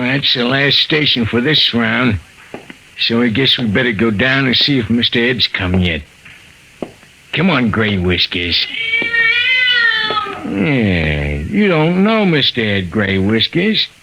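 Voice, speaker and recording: An actor playing a slow-witted, loyal night watchman with a halting, stammering delivery in 1940s radio style. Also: medium pitch, big pitch swings, gravelly, sharp tone, full character voice. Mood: wistful, simple. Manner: simple, halting, repeats words and stammers. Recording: noisy, crackly 1940s radio recording.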